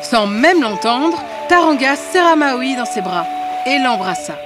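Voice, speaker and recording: A woman's voice: high-pitched, lively intonation, unhurried. A woman narrating a scripted fairy tale as a storyteller. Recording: music behind the voice.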